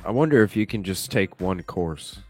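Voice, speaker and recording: A man streaming live, chatting casually and thinking out loud with a stuffy nose, swearing now and then. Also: low-pitched, lively intonation, normal speed, breathy edge, soft background music. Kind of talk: casual chat reacting to a video. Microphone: desk microphone with webcam.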